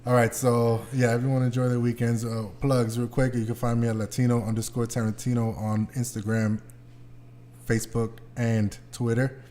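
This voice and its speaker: A man's voice: mid-range voice, flat delivery, normal speed, warm tone, a little hiss or hum. A man hosting a podcast, chatting casually with the group. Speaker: podcast host.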